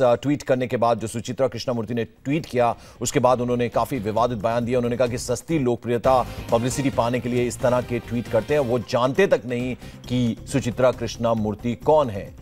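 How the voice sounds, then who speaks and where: A man presenting and interviewing as a television news anchor, speaking insistently and repeating himself. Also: medium pitch. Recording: music behind the voice.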